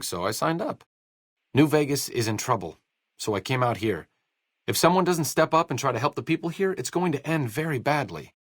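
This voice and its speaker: Wry, low-voiced American doctor with lively intonation. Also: male voice, no echo, exaggerated voice. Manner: dry, sardonic, articulate. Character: wry, sarcastic, idealistic male doctor in a wasteland. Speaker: video game companion character. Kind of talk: video game voice acting, conversational dialogue.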